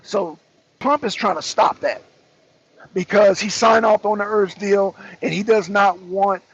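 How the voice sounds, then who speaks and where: A man's voice: high voice, measured pace, vocal fry, slightly rough. A man hosting a political talk show, giving blunt, emphatic commentary and repeating phrases for effect. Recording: dull lo-fi audio.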